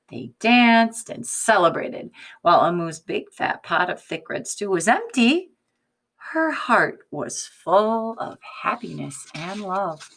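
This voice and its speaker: A woman reading a picture book aloud like a teacher, expressive and instructive, addressing young children with questions. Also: mid-range voice, gravelly.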